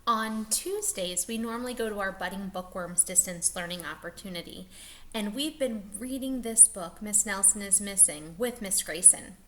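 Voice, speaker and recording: A woman teacher reading a picture book aloud to young children, expressive and stretching words for emphasis. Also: medium pitch, normal speed.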